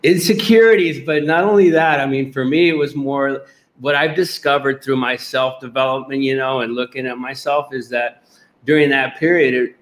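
Male guest from Puerto Rico, earnest and rambling, average pitch. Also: fairly even intonation, normal speed. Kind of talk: casual interview.

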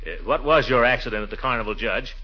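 An actor playing a pompous middle-aged man, blustering, excitable and evasive, in a 1940s radio sitcom. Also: mid-range voice, quick pace, close to an everyday voice. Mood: blustery. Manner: blustering, indignant, chuckling. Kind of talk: 1940s radio comedy acting. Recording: dull 1940s radio recording.

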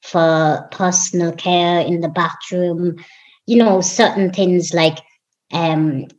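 A young woman from Ireland, a guest in an interview, talking in an unhurried, warm way with frequent ums. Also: on the low side, fairly even intonation, lo-fi sound. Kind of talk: casual interview conversation.

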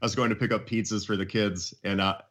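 Middle-aged man, lowish voice, enthusiastic chat. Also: flat delivery, quick pace, fairly bright tone. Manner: enthusiastic, emphatic. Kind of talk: casual music chat.

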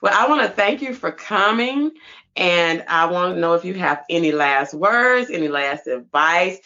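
A woman's voice: lowish voice, animated, normal speed, pushed and bright, muffled recording. A woman hosting a casual interview, asking questions in an informal, emphatic way. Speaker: interviewer, host.

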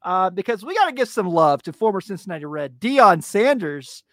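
A man hosting a casual sports podcast, hyped and exclamatory, with a raspy voice today. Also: sits high, sing-song, a bit bright, dry recording, clean studio sound. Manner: hyped, exclamatory, drawn-out hype words. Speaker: podcast host.

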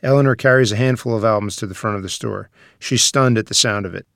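A man's voice: lowish voice, fast, creaky, fairly bright tone, dry recording, clean studio sound. A man narrating the stage directions of a screenplay at a table read.

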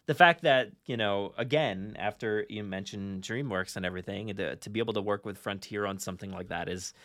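A man's voice: on the low side, a little fast, a bit bright, dry recording, clean studio sound. A man hosting a podcast in casual fan chat, enthusiastic but often restarting and repeating his phrases. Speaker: podcast host.

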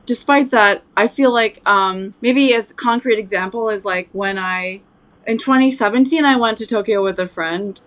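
A woman's voice: mid-range voice, normal speed, fairly bright tone, muffled recording. Chatty woman with lots of fillers. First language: Japanese. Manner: rambling, many fillers and restarts. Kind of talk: casual chat.

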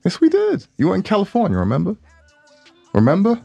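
Very animated man protesting in banter. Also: high voice, a little fast, gravelly, dry recording, muffled recording, soft background music. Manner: protesting, animated, repeats himself for emphasis. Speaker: podcast co-host. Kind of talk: casual banter.

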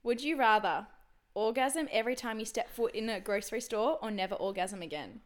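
A young woman, talking loud and bubbly and laughing easily. Her voice sits a little high. She co-hosts a casual chat podcast on a handheld mic held well back from her mouth. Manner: loud, excitable and quick to laugh. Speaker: podcast co-host. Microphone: handheld mic held away from mouth.

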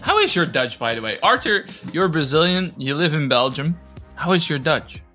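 Podcast host, man, bright and forceful tone, enthusiastic, stumbles into sentences. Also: fairly high pitch, big pitch swings, moderate pace, soft background music. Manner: enthusiastic, repeats words when starting. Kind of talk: casual chat.

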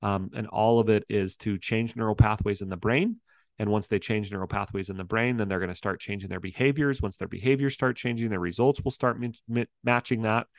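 A fairly low-voiced man talks as a life coach, emphatic and upbeat, leaning hard on key words. The sound is dry and close, with no room around it. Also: brisk, no room sound. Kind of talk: interview guest, motivational talk.

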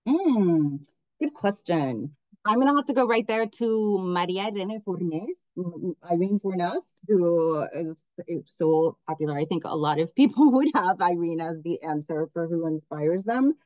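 Middle-aged woman, eager theater director type, lowish voice, dry muffled lo-fi sound. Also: expressive, measured pace, warm tone, no echo. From Miami, United States. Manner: enthusiastic, restarts often. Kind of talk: podcast interview.

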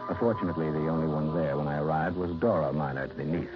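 An actor playing a hard-boiled insurance investigator, narrating dryly and wryly in a 1950s radio drama. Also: male voice, extremely low pitch, steady tone, quick pace. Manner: dry, clipped, wry. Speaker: narrator and lead. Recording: muffled old radio broadcast with music.

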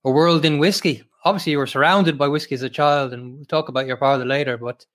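A man's voice: a little high, fairly even intonation, a bit bright. Man hosting an interview. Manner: measured, formal, many "uh" hesitations. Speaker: host.

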